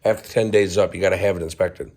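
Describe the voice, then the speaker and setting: Hoarse, lower-pitched New guy hosting a rambling garage vlog, lots of ums. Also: fairly even intonation, very fast, a little mellow. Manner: rambling, frequent ums and coughs. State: hoarse from laryngitis. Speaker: channel host, car restorer. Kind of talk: casual vlog update.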